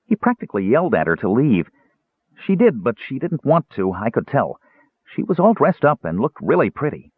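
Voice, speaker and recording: A man voicing an excitable, childlike little kender who rambles eagerly in a storybook reading. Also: medium pitch, normal speed, husky, no room sound, phone-quality sound, pitched above the performer's own voice. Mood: gossipy, excited. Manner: rambling, breathless, eager, digressive.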